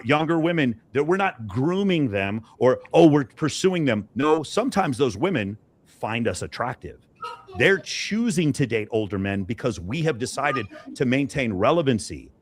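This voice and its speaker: A man in his late forties, assertive and emphatic while arguing his point on a panel. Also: medium pitch. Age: 40s. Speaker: panel guest. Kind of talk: argumentative opinion talk.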